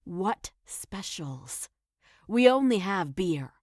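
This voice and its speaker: A blunt, bossy woman innkeeper, acted by a woman, in a dry, close recording. She speaks slowly and deliberately, with plenty of movement in the pitch. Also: big pitch swings, a little mellow, no echo. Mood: deadpan. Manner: blunt, scolding. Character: stern, matter-of-fact female innkeeper, blunt and bossy. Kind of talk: audio play character acting.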